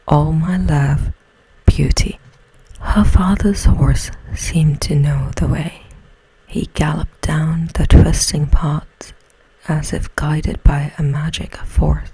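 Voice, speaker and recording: A woman whispers a bedtime story in a hushed, breathy ASMR style, close to the mic. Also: low-pitched, no room sound, low-quality recording. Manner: slow, hushed, soothing delivery.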